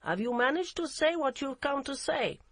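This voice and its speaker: A woman voicing a grave, solemn father who speaks in formal, measured sentences. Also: high-pitched, lively intonation, no echo, studio quality, voice pushed up.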